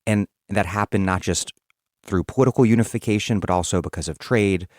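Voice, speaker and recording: A male podcast host with a very deep voice asks long, careful questions. The sound is dry and close, with no room echo. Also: a little mellow. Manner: measured, reads quotes. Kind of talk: interview questions, partly read aloud.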